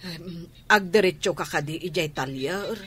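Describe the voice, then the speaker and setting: A woman's voice: fairly low pitch, normal speed, fairly bright tone, close to an everyday voice. A woman playing a protective, worried mother in a Filipino radio drama. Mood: wary. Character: protective, worried mother standing up to her husband.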